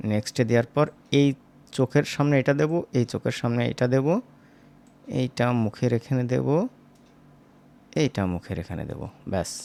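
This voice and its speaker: A man teaching as a software instructor, informal and step by step, often checking in with short tag questions. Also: medium pitch, creaky. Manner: conversational, seeking agreement with short tag questions. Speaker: animation tutorial instructor.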